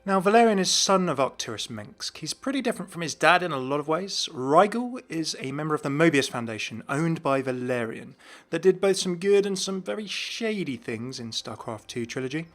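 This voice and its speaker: A man's voice: on the high side, lively intonation, brisk, fairly bright tone. A man giving scripted, explanatory commentary as the host of a gaming video. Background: background music.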